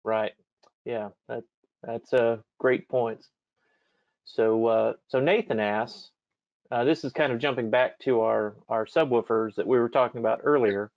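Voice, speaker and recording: Man, mid-pitched, webinar moderator, informal, very dry and slightly muffled audio. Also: unhurried, no room sound. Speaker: moderator relaying audience questions.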